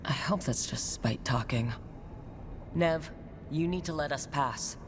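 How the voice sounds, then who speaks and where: A woman's voice: low-pitched, slow pace. A woman playing a resolute, loyal hero in a video game, speaking in an earnest, firm way. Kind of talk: video game character acting. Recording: clear background noise.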